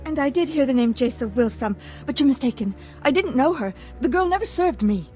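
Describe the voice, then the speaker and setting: A woman's voice: sits high, animated, fairly quick, dark tone, soft background music. An actress playing a refined, proud but anxious noblewoman in a video game, speaking formally and earnestly. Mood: composed, earnest. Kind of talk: video game character acting.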